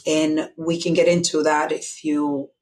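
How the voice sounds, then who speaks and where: A woman's voice: low voice, little pitch movement, measured pace, darkish tone, a little hiss or hum. A middle-aged woman from the Dominican Republic with a Dominican Spanish accent, a guest telling her life story openly and reflectively, with many fillers. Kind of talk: candid personal storytelling.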